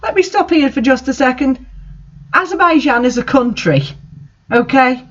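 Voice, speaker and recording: A woman's voice: high-pitched, normal speed, dull audio. A woman reading fan fiction aloud as a dramatic narrator. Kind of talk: dramatic story reading with asides.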